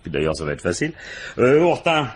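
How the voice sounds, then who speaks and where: An actor playing a shrewd, world-weary police commissioner in a French radio crime drama, with a dry, probing, ironic manner. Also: male voice, fairly low pitch, big pitch swings, a little fast, breathy edge.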